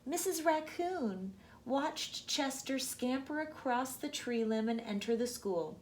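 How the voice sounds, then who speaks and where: A woman hosting storytime, narrating a children's book with deliberate phrasing for young listeners. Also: high-pitched, a little hiss or hum. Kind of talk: children's storybook read-aloud.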